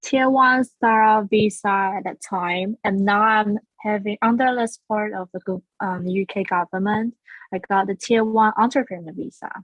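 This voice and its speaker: A woman's voice: medium pitch, steady tone, normal speed, no room sound, dull audio. A woman from Taiwan, a school founder being interviewed, talking earnestly with frequent restarts. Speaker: guest, founder of a language school. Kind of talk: casual interview.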